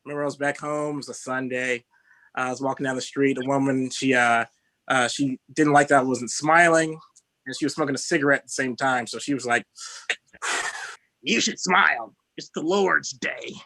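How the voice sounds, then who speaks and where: A young man from Texas doing a stand-up set, halting and filled with "uh" and "um". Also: medium pitch, bright, forceful tone, no room sound. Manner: halting delivery with many fillers. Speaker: stand-up comedian.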